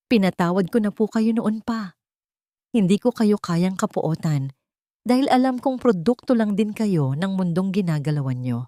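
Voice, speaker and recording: Female narrator as a hurt young filipina wife, forgiving but firm, calm n dignified, lowish dry voice. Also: no echo, lightly acted. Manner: composed, respectful, resolute.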